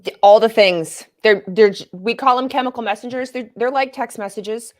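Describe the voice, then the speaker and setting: Animated woman guest expert. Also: a little high, normal speed. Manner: animated, explains with casual analogies. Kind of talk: interview answers.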